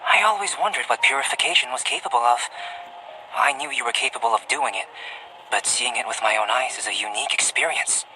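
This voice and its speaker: Game role for a male actor: young male scholar, reserved and analytical, slightly higher pitched, formal measured phrasing, music underneath. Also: lightly acted, low-quality recording. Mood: thoughtful, serious. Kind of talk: video game cutscene voice acting.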